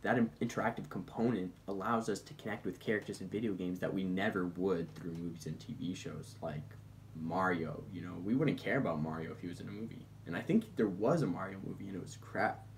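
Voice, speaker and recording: Man, lower voice, informal film commentator, conversational, bantering, mock-defensive. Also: brisk, a little hiss or hum. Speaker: film commentator presenting points. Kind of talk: casual comedic video essay, bantering with an unheard sidekick.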